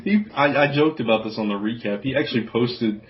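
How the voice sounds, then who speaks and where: A man's voice: mid-range voice, brisk. A man hosting a podcast in a casual, loose chatting style. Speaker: podcast host. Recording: slightly dull lo-fi audio.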